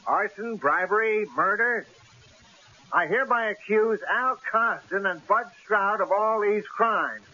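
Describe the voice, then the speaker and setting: An actor playing a cautious, exasperated newspaper editor in an old-time radio drama, brusque and argumentative. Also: male voice, sits high, a little slow, sharp tone, full character voice. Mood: declaiming, reading aloud. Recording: hissy, dull old radio broadcast recording.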